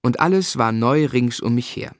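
A male narrator of a radio drama, played by an actor, looking back on his boyhood. His delivery is measured and literary, at a medium pitch, recorded very dry and close. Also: dry recording, close to an everyday voice. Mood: reflective, measured. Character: adult man reflectively recounting his boyhood.

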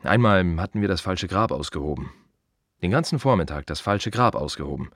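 Deep-voiced, wry gravedigger. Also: male voice, animated, a bit bright, no room sound. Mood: matter-of-fact, wry. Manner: halting short phrases, rhetorical questions, dry irony. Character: plain-spoken, wry gravedigger, a working man. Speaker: actor in a radio play monologue. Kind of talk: radio play monologue, confiding and conversational.

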